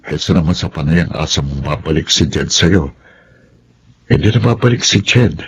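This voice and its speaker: A man's voice: very deep voice, lively intonation, moderate pace, fairly bright tone, breathy edge, exaggerated voice. An actor playing Egay, a young man home from America, speaking in an earnest, persuasive way. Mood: caring. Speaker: Egay, friend of Abi. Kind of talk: Tagalog drama acting. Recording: dull, muffled sound.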